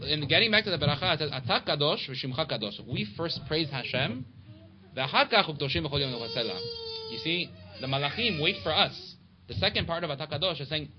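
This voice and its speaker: A man teaching a casual Torah class to a small study group, chatty and quick, reading Hebrew and Aramaic passages aloud. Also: on the high side. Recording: dull lo-fi recording with steady background noise.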